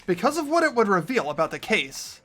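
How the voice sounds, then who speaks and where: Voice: medium pitch, big pitch swings, fairly quick, fairly bright tone, soft background music. A performer voicing an earnest teenage boy investigator who argues through the evidence in a fan dub of a game. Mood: insistent.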